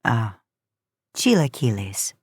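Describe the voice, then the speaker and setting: A woman's voice: very deep voice, big pitch swings, takes it slowly, husky, dry recording, pitched below the performer's own voice, heavily acted. A woman voicing a stern, terse older woman in a story, speaking drily. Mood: dry. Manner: dry, terse. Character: stern, dry older Mexican housekeeper woman with weathered face.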